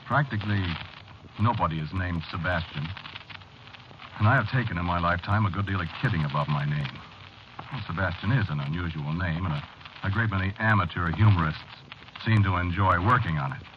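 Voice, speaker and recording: An actor playing an anxious, haunted man confessing a premonition haltingly in a 1940s style radio drama monologue. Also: low-pitched, close to an everyday voice. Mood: wry, hesitant. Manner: hesitant, halting, confiding, nervous. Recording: noisy, muffled vintage radio broadcast recording.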